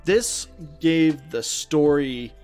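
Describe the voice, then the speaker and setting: A man's voice: fairly high pitch, drawn-out words, a little mellow, clean tone, faint music behind. A man co-hosting a podcast, chatting casually about films and games in an enthusiastic, emphatic way. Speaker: podcast co-host.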